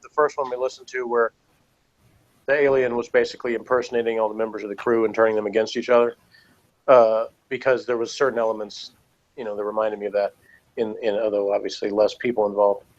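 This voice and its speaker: A man giving casual review opinions in a rambling, halting way, full of filler words. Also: lowish voice, flat delivery, lo-fi sound. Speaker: review show panelist.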